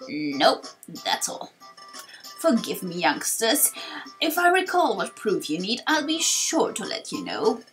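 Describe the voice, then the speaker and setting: Woman acting a slow, breathy old tortoise elder. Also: medium pitch, huge pitch swings, fairly bright tone, soft background music, full character voice. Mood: flustered, forgetful. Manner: slow, halting, mumbling. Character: forgetful, hesitant old male tortoise elder.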